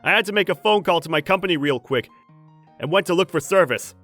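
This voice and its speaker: A man's voice: high-pitched, lively intonation, fast, a bit bright, soft background music. Man playing a callous, dismissive husband. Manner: dismissive, offhand. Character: selfish callous young husband.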